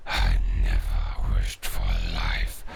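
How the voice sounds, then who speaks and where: Cinematic audio drama: proud dying werewolf king, growling, drawn-out solemn delivery, abyssal pitch. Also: completely flat delivery, exaggerated voice. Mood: proud, defiant. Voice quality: very deep gravelly growl. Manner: slow, breathy, halting, solemn. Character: dying, defiant male werewolf, king of beasts. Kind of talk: cinematic audio roleplay acting. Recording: noisy ambience behind the voice.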